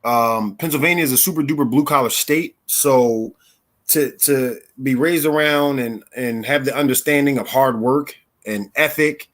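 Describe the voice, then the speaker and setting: A man's voice: medium pitch, normal speed, a bit bright. An American man in his thirties from Philadelphia, telling his own story conversationally as an interview guest. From Philadelphia, United States. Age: 30s.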